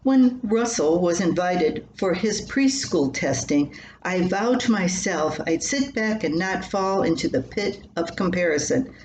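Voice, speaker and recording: A woman's voice: on the low side, lively intonation, measured pace, darkish tone. An older woman reading her own scripted personal story aloud. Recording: roomy echo, faint background noise.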